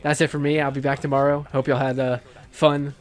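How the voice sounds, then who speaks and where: A young man with a raspy voice, casting an esports match play-by-play by himself, often restarting his sentences and getting louder when the fights break out. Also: mid-range voice, brisk, faint music behind. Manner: frequent restarts and stumbles, rising to excited shouting in fights. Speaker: esports caster. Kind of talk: solo play-by-play shoutcast.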